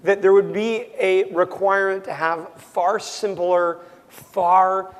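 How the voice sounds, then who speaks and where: Hoarse young man talking loudly, voice cracking. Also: high voice, steady tone, slow, big-room sound, a little hiss or hum. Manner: loud, nearly yelling, full of ums and restarts. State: losing his voice, prone to cracking. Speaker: startup founder being interviewed. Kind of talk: interview answers.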